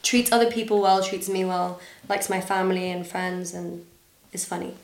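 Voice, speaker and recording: A woman's voice: on the low side, little pitch movement, moderate pace. Young woman, international school accent, casual giggly podcast guest, roomy sound. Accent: international school, partly American. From Dubai. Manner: chatty, giggly, trailing fillers. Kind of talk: casual chat. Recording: echoey room.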